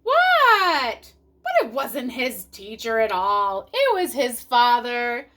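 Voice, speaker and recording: A woman narrates a children's storybook aloud. Her voice is high and bright, and it moves through a wide, animated range of pitch. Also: takes it slowly. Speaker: storybook reader.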